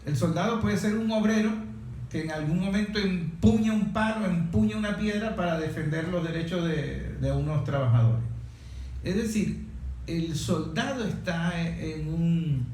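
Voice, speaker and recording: A man in a reflective political discussion as interviewer, speaking haltingly with repeated words and rhetorical questions. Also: high-pitched, animated, a little mellow. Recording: very echoey room, distant mic, faint noise.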